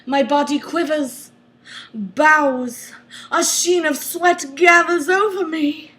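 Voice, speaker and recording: A woman's voice: high voice, takes it slowly, fairly bright tone. A woman narrating a novel as a comedic mock-dramatic reading, with theatrical, exaggerated emphasis.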